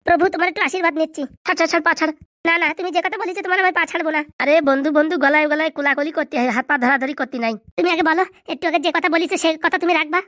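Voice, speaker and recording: A man voicing an excitable, cheeky young male cartoon buddy, with slangy bantering delivery and a pitched-up effect. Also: rapid-fire, no room sound, studio quality. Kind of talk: comedy cartoon dubbing in colloquial rural dialect.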